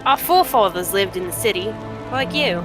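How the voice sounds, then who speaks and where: A woman's voice: mid-range voice, expressive, fairly quick, music in the background, close to an everyday voice. A woman voicing a brash, scornful old cave-dwelling trader woman in a fantasy game, with blunt, rustic haggling. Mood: indignant, proud.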